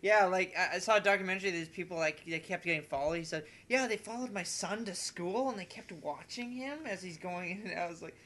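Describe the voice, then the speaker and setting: A man's voice: sits high, fairly quick, hardly any pauses, fairly bright tone. A man chatting casually on a podcast in a rambling way, peppering his sentences with "like". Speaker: podcast host. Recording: clearly noisy home recording.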